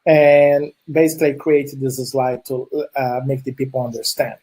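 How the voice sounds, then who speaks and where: A middle-aged man from Chile, Portuguese-speaking from childhood, who talks with a Brazilian Portuguese accent. He speaks in a husky voice at a middle pitch, friendly and a bit halting, with plenty of filler sounds while he presents. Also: fairly even intonation, dark tone. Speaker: conference speaker. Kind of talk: presentation talk.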